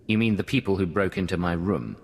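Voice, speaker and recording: An actor voicing a cool, terse secret agent in a video game, speaking in clipped, understated lines. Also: male voice, low voice, lively intonation, fairly quick, vocal fry. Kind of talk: video game cut-scene acting. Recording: long hall reverb.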